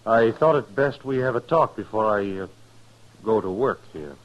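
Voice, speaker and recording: An actor as a low-voiced courtly gentleman gambler. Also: moderate pace, dull audio, natural voice. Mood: smooth, formal. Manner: formal, precise, touchy about honor. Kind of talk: 1950s radio western acting.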